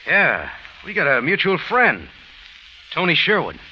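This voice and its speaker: A man's voice: fairly high pitch, huge pitch swings, measured pace, a little hiss or hum. An actor playing a tough, wry detective who tells his own story in clipped slang, in 1940s radio drama style. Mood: urgent. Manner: hard-boiled, clipped, slangy. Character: tough, wry American detective hero telling his adventure. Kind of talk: 1940s radio drama acting and first-person narration. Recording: muffled old radio recording, music behind.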